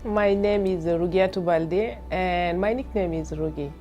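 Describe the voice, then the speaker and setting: A woman's voice: fairly low pitch, unhurried, mellow, lo-fi sound, soft background music. A woman with a non-native English accent, explaining her views earnestly in a personal testimonial. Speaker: clinician.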